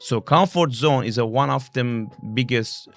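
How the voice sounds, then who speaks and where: A non-native English-speaking man, a guest on a live talk show, speaking earnestly in halting, broken English. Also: mid-range voice, faint music behind. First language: not English. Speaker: guest, actor and model. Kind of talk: casual live show chat.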